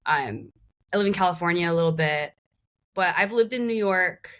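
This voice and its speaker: Guest woman, lowish voice, dry humor, relaxed podcast chat. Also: normal speed. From Hong Kong. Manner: wry, self-deprecating, laughs often. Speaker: band member, guest. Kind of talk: casual interview chat.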